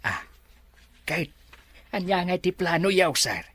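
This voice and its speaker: Nervous underling for ilocano radio drama, low husky voice, stammers, super dry close sound. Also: female voice, big pitch swings, fairly bright tone, no echo, heavily acted. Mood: hesitant, deferential. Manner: halting, stammering replies.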